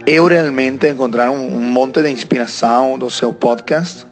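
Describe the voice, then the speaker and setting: A man's voice: mid-range voice, a little slow, soft background music. A man in his twenties from Italy, an Italian speaker talking in Portuguese with an Italian accent, sending a listener message with hesitant phrasing and frequent fillers. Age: 20s.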